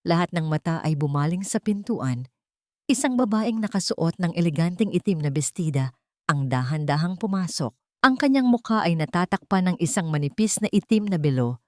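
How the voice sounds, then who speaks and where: A woman reads out a dramatic audio story in a measured, steady storytelling manner. Her voice sits low for a woman, and the recording is close and completely dry, with no room sound. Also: no room sound. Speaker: narrator. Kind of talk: scripted dramatic narration.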